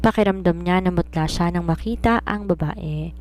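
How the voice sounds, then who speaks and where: A woman's voice: fairly low pitch, moderate pace, darkish tone. A woman with a Filipino accent reading a scripted romance story as its narrator.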